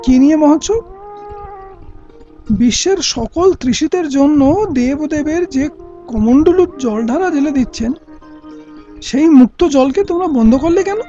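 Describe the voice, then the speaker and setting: Man playing an earnest, high-voiced older royal uncle. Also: animated, unhurried, long pauses, warm tone. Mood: reproachful. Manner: earnest, poetic oratory. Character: principled, defiant royal uncle, older kinsman. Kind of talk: Bengali radio play acting. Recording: dull lo-fi audio with music.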